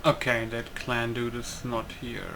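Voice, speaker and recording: A man's voice: medium pitch, completely flat delivery, a little slow, creaky. Informal male gamer, noisy recording. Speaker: game streamer. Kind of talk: casual let's play commentary. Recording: noisy, game sound behind.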